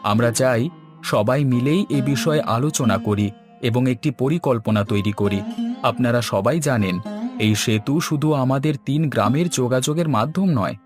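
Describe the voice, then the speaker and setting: A man's voice: medium pitch, moderate pace, close to an everyday voice. Earnest village leader played by a man, music behind. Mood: earnest, resolute. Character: earnest male village leader, an uncle rallying flood-hit villagers. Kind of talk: scripted cartoon dialogue.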